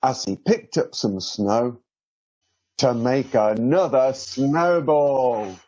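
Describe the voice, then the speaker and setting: A man narrating a children's storybook in an expressive, drawn-out storytelling delivery. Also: medium pitch, husky, dull audio. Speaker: author reading a children's story.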